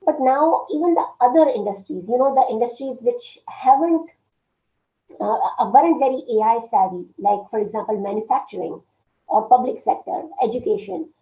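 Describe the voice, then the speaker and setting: A woman presents an online workshop in a fairly high, strained and hoarse voice. She pauses often on fillers and keeps clearing her throat. Her video call sound is narrow and phone-like, with a roomy echo. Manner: halting, full of fillers and frequent throat clearing. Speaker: workshop speaker. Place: indoor room at home. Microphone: laptop webcam on a video call.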